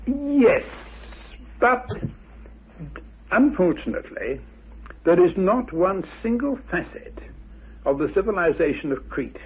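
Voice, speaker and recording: A man speaking in an unscripted interview, formal, deliberate and emphatic, in long halting sentences. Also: on the high side, vocal fry, husky. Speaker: interviewed researcher. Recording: muffled, narrow-band old radio interview.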